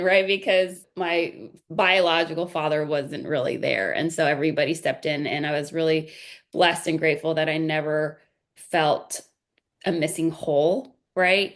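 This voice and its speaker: A woman in her forties, a podcast guest, chatting casually and telling family stories with frequent "right?" tags. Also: low-pitched. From United States. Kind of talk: casual interview chat.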